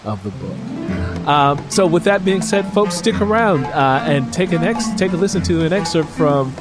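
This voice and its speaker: A man's voice: a little high, lively intonation, moderate pace, music in the background. A man hosting an interview show in a conversational style.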